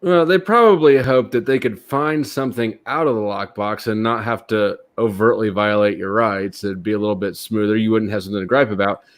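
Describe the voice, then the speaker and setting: Guy cohosting a stream, lowish voice, blunt, teases his buddy, swears. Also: fairly even intonation, fairly quick, few pauses. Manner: blunt, teasing, profane. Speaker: livestream co-host. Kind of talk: casual livestream chat.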